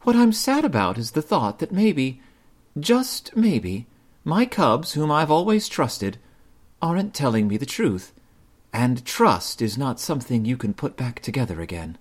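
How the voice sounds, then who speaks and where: A man voicing a caring, wise mother bear in a storybook with a soft motherly lilt. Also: mid-range voice, very animated, unhurried, pitched above the performer's own voice. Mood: gentle.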